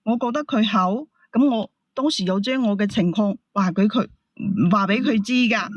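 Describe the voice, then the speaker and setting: A woman's voice: medium pitch, normal speed, no room sound, dull audio. A woman with a Hong Kong Cantonese accent explaining herself as a caller, defensive and protesting. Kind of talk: heated personal explanation.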